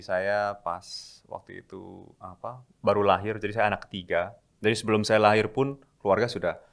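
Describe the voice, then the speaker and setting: A young man, a seminarian, telling his life story at a measured pace in a casual podcast interview. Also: fairly low pitch, fairly even intonation, vocal fry. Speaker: guest, a seminarian.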